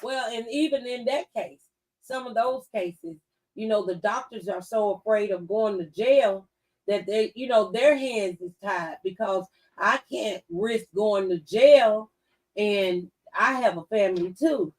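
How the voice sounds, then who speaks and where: A woman's voice: mid-range voice, a little slow, distant mic, rough recording. A woman hosting a talk show, speaking conversationally and earnestly and repeating phrases for emphasis.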